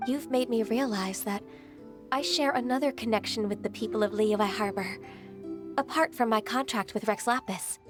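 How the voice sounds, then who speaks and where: An actress voicing a gentle, ageless, half-divine secretary in a video game, soft-spoken and earnest. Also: female voice, fairly high pitch, normal speed, close to an everyday voice. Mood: reflective. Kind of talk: game voice acting. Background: game soundtrack music.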